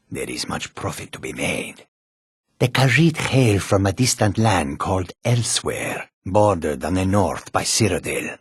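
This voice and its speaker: Male cat-folk merchant, raspy, courteous, formal, fantasy game character, close dry sound. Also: low-pitched, no room sound, heavily acted. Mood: shrewd, measured, then wistful. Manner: measured, formal, archaic phrasing. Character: male cat-folk caravan trader, courteous, wary, homesick.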